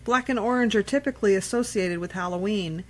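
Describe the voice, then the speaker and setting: A woman's voice: mid-range voice, a little fast. A woman co-hosting a radio show, reading out trivia and chatting casually about it.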